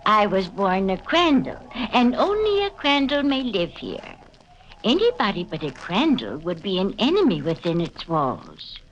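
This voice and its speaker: A woman's voice: mid-range voice, huge pitch swings, a little slow. An actress playing an intense, eerie, earnest woman in a 1940s radio horror drama. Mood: foreboding. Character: intense, eerie, pleading woman obsessed with her family house. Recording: noisy, dull old radio recording.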